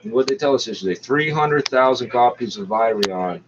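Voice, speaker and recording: A man's voice: mid-range voice, animated, normal speed. A man giving blunt, profane, sardonic off-the-cuff running commentary as he talks back at a radio broadcast. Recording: dull, noisy lo-fi recording.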